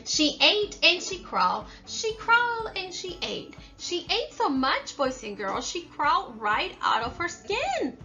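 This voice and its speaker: A woman's voice: very high voice, big pitch swings, a little slow, few pauses, bright, forceful tone, lo-fi sound, faint music behind. A woman teacher reading aloud and instructing young children, addressing them directly and stressing key words. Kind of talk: slow instructional read-aloud with call-and-response prompts.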